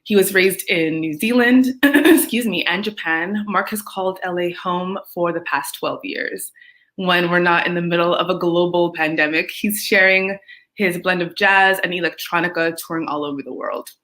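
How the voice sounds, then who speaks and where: A young woman hosts, her voice a touch low for a woman. She introduces the show from a script and then chats warmly and brightly with her guest. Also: steady tone, normal speed. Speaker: host.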